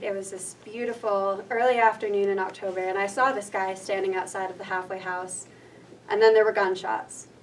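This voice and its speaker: A young woman from Rhode Island tells an earnest, steady personal story from a stage. Her voice sits at a middling pitch and comes from some distance away, with a faint hiss under it. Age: young adult. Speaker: student storyteller. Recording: distant mic with faint noise.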